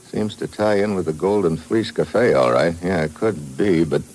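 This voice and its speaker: An actor playing a tough, shrewd investigator in a 1950s radio thriller, with clipped, matter-of-fact delivery. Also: male voice, sits low, moderate pace, darkish tone, close to an everyday voice. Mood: musing, shrewd. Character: tough, shrewd male investigator narrating his own case. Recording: noisy old radio recording.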